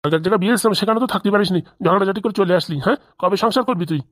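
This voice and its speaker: A man's voice: high-pitched, rapid-fire, a bit bright, no room sound, studio quality. A man voicing a defensive, whining old father who lends money, in a comedy cartoon. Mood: defensive, grumbling. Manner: whining, defensive, blame-shifting.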